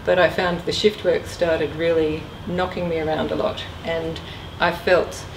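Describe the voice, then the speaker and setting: A middle-aged woman speaks from some distance in a very echoey room. Her voice is on the low side, and she talks informally, as a guest presenter taking questions. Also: level tone, measured pace. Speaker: guest speaker, former air traffic controller. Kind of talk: informal career talk with questions. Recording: echoey room, distant mic, clear noise.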